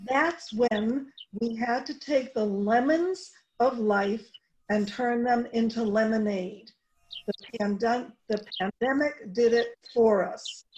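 A woman giving a prepared introduction as director and playwright, speaking deliberately, phrase by phrase. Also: on the high side, poor audio.

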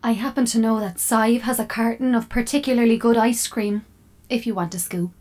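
An actress playing an opinionated talking fridge in an audio drama. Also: female voice, a little high, fairly even intonation, brisk, a little mellow, close to an everyday voice. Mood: matter-of-fact. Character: opinionated female smart fridge, offering help.

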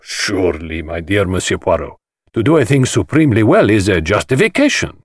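A man's voice: deep voice, very animated, a little fast, breathy edge, dry recording, clean studio sound, heavily acted. A man voicing a flamboyant, mocking, sinister middle-aged host in an audiobook, with a drawling, hissing, theatrical manner. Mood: mocking, persuasive. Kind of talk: dramatised audiobook dialogue.

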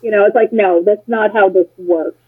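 A woman's voice: medium pitch, fairly even intonation, moderate pace, breathy edge. An American woman chatting casually and telling opinionated stories, blunt and sarcastic, swearing freely, on a muffled, lo-fi connection. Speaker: interview guest, former political candidate. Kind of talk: casual conversation, opinionated anecdotes. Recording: muffled lo-fi phone-like sound.

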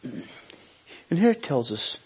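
Husky-voiced male preacher from Honduras. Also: on the high side, big pitch swings. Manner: conversational, halting, searching for words. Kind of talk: sermon with personal storytelling. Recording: dull, muffled lo-fi recording.

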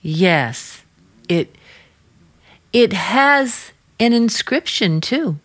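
An actress playing an earnest, suspicious young niece in old-time radio drama, speaking in a guarded, halting, pointed way. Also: female voice, mid-range voice, very animated, exaggerated voice.

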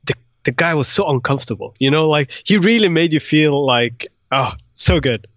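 A man's voice: fairly high pitch, expressive, normal speed, a bit bright, dry recording. Dull lo-fi recording, casual man from Sweden. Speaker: guest, concept artist. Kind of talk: casual interview chat.

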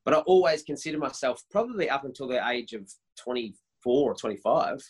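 A man in his thirties, a worship leader, talks about his work in a casual interview. His voice sits on the higher side, and he speaks with eager energy, tripping over and restarting his sentences. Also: expressive, a little fast, slightly rough, low-quality recording. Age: 30s. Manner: enthusiastic, many restarts and repeated words.

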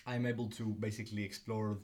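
A man's voice: fairly low pitch, little pitch movement, fast, darkish tone. A young man from Greece, a filmmaker and student guest, talking casually with many ums and restarts. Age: young adult. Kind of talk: casual interview.

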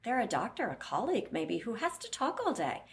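A woman's voice: fairly high pitch, huge pitch swings, fairly quick. A woman voice clinician answering interview questions bluntly, explaining at length and acting out what her patients say.

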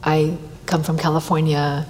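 A woman answering on a discussion panel, speaking reflectively and often pausing with "you know". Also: deep voice, flat delivery, darkish tone. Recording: long hall reverb, faint noise.

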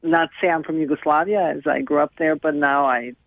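Woman on a gravelly phone line. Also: deep voice, steady tone, no echo. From Serbia. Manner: earnest, halting with many restarts. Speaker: film director and guest. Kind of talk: phone interview. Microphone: telephone. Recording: tinny dry phone line.

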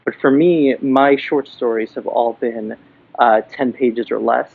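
A man's voice: medium pitch, steady tone, a little slow, creaky. Man on a thin phone-like line. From Miami, Florida. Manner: thoughtful, many fillers and restarts. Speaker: guest, comic book writer. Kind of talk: podcast interview. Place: building basement. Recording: dry, phone-like remote line.

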